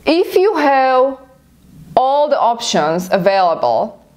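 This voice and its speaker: A woman's voice: sits high, sing-song, takes it slowly, a little mellow. A woman with a Slovak accent, a native Slovak speaker, giving emphatic instructional advice as a business coach hosting a video. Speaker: online business coach and attorney host. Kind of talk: instructional talk to camera.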